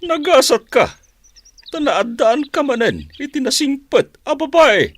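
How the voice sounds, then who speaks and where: Radio drama village chief, fatherly and reassuring, very high lively voice, played by a woman. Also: measured pace, a little hiss or hum. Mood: sincere.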